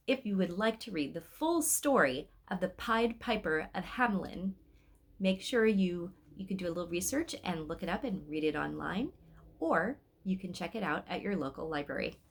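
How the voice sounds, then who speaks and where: A woman's voice: lowish voice, animated, moderate pace, creaky, fairly bright tone, breathy edge. Woman reading a story aloud. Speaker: storybook reader, host. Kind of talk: expressive read-aloud narration with casual asides.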